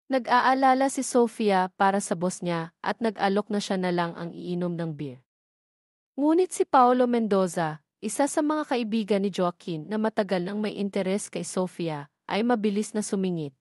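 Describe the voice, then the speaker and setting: A woman with a Filipino accent narrates a scripted romance audio drama. Her voice sits at a medium pitch. The recording is close and dry, with little room sound. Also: expressive, normal speed, a little mellow, no echo. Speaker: story narrator.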